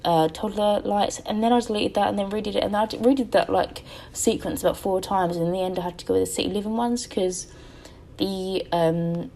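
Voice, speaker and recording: A woman's voice: mid-range voice, warm tone. A young woman giving a rambling, casual voice-over about her building project. She sounds tired and her voice is a little crackly, and she often corrects herself and fills gaps with "um". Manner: rambling, chatty, self-correcting with many ums. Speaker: game building YouTuber.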